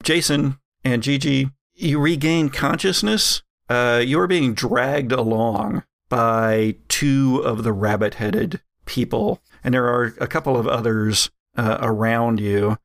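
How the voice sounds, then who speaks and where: A man's voice: mid-range voice, slow, hardly any pauses. A man narrating as game master in a casual tabletop game, with many fillers and laughs.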